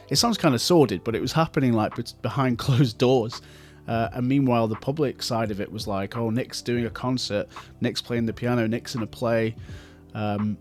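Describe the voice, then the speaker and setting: A man's voice: mid-range voice, a little mellow. A man in his forties from England with a light Stoke-on-Trent accent, telling his life story in a chatty vlog with self-deprecating asides. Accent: light Stoke-on-Trent English. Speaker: software developer vlogger.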